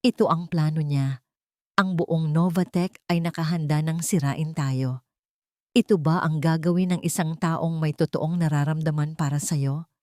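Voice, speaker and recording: A woman's voice: deep voice, fairly even intonation, measured pace, dark tone, dry recording, clean studio sound. A woman voicing a stern, wealthy father who speaks accusingly in an audio love drama. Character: stern, wealthy patriarch warning his son.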